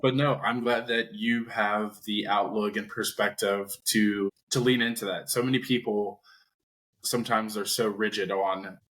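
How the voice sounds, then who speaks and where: A man hosts a podcast interview in an easygoing, joking way. His voice sits a little low, and his chat stays light and friendly. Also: fairly even intonation, unhurried, a bit bright, poor audio. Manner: upbeat, joking, casual. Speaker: podcast host.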